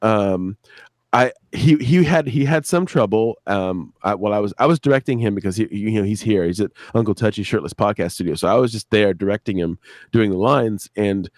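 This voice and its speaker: A man's voice: fairly low pitch, fairly quick, darkish tone, no room sound, studio quality. A man talking casually and profanely on a live stream as an audio drama creator, telling rambling anecdotes and acting out what people said. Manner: profane, rambling, acts out quotes. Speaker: audio drama creator and director, interview guest.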